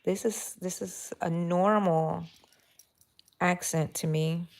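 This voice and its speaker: A woman's voice: fairly low pitch, steady tone, takes it slowly, a little mellow. A woman from Texas hosting a casual live crochet stream from her bedroom, chatty and thinking aloud as she works and talking to her yarn. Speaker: crochet channel host. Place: bedroom at home.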